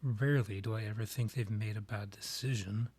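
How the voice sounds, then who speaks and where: A man playing a proud, courtly vampire monarch in a scripted ASMR roleplay, speaking formally and with measured, theatrical phrasing in a husky, breathy voice. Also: lowish voice, fairly even intonation, a little mellow. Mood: indignant, restrained.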